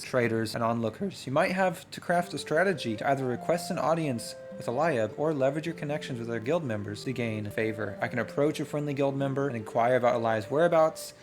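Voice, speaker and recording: Man narrating a tabletop game over music. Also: mid-range voice. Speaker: solo role-playing game player and streamer. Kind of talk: game commentary mixed with reading narration aloud. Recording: music playing behind the voice.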